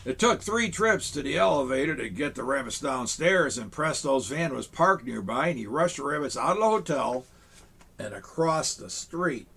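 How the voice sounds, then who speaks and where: A man reading a children's picture book aloud, with chuckling, animated asides to young listeners. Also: a little high, normal speed, vocal fry, slightly rough. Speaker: storyteller in a Santa persona.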